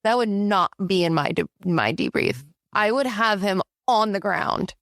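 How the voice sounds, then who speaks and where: A woman co-hosting a podcast in casual chat, an outspoken flight instructor who talks with animated emphasis. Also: mid-range voice, unhurried, dry recording, clean studio sound.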